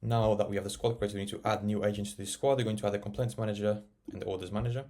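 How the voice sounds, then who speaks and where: A man narrating a casual software tutorial, explaining and thinking aloud as he works. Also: fairly low pitch, fairly even intonation, very fast. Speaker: tech tutorial presenter.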